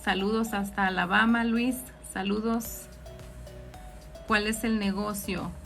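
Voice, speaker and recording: A woman's voice: medium pitch, fairly even intonation, slow pace, fairly bright tone, soft background music. A woman with a northern Mexican accent hosting an informal live question-and-answer session, explaining things directly to her listeners. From Ciudad Juárez, Mexico. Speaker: host, accountant answering audience questions.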